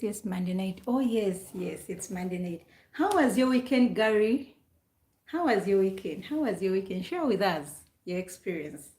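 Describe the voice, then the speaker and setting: A woman's voice: on the low side, a little mellow, roomy sound. A woman from Uganda chatting casually on a live stream, greeting viewers by name and repeating her questions.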